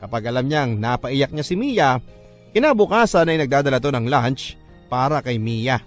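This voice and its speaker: A Filipino man reads in the first person as a radio storyteller. His delivery is scripted and dramatic, in a middle register. Music runs softly behind his voice. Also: medium pitch, lively intonation, normal speed. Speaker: radio storyteller reading a listener's letter. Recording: music bed under the voice. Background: background music.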